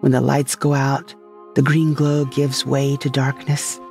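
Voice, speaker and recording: Narrator for sci-fi audio drama monologue, medium pitch, soft music underneath. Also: flat delivery, unhurried, vocal fry. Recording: faint music behind the voice.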